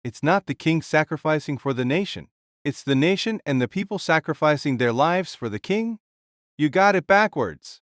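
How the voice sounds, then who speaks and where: A blunt, bold conqueror king acted by a man for an audio drama. He speaks with weighty, deliberate firmness and big swings in pitch. The sound is very dry. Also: lively intonation, fairly bright tone, no echo. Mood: firm rebuke.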